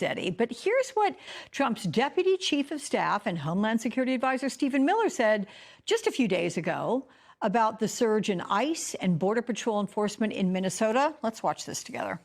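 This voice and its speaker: Female news anchor, mid-pitched with animated intonation, broadcast interview delivery.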